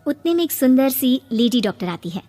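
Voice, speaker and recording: A woman's voice: sits high, sing-song, fairly quick, mellow. A woman narrating a scripted family story as a dramatic storyteller. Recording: long reverb, music behind.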